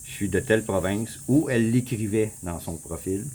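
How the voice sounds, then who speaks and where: A man with a Québécois accent, casually walking viewers through a map on his screen, rambling, repeating himself and clearing his throat often. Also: on the low side, normal speed, darkish tone, a little hiss or hum. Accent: Québécois French. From Quebec. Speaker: vlogger. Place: home in the Philippines.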